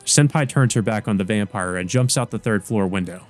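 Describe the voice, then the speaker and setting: A man's voice: on the low side, fairly quick, a little mellow, faint music behind, close to an everyday voice. Man reading as a weary teenage boy narrator. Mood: grave. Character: weary, gravely wounded teenage boy narrating his own story. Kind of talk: first-person story narration.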